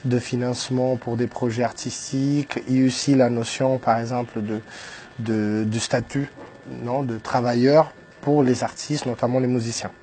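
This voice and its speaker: A man's voice: mid-range voice, flat delivery, moderate pace, warm tone, slight background noise. Hesitant man speaking French. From Brazil. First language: Portuguese. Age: 30s. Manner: hesitant, many fillers and restarts. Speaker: guest, entrepreneur. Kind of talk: radio interview, telling his life story.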